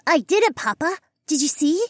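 Man putting on a little snow leopard girl, proud, pleading, high and lively, dry sound. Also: normal speed, no room sound. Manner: bubbly, pleading. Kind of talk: audiobook character voice.

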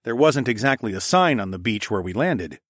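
A man's voice: fairly low pitch, big pitch swings, moderate pace, a bit bright, no echo, clean studio sound. A man voicing a careful, self-assured nobleman and protective husband in an audiobook. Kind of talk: audiobook drama dialogue.

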